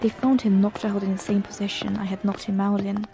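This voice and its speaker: A woman's voice: mid-range voice, little pitch movement, fairly quick. A woman reading a scripted scary story in the first person, as a narrator. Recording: loud background music. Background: music.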